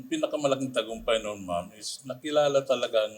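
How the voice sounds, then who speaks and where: A Filipino man with a husky voice answering conversationally as an interview guest. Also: medium pitch, fairly quick. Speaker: radio drama team member, guest. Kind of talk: conversational interview answers, mixing Tagalog and English.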